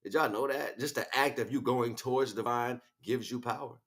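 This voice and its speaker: A man's voice: mid-range voice, fairly even intonation, fairly quick. A man livestreaming a casual, rambling spiritual monologue in street slang, often checking in with rhetorical questions. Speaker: livestream host.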